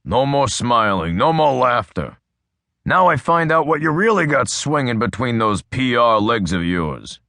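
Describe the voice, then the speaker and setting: A man voicing a gruff, blunt, profane male cop in an audiobook. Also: mid-range voice, big pitch swings, unhurried, sharp tone, no echo, clean studio sound, heavily acted. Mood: menacing. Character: gruff hard-boiled male cop Adams.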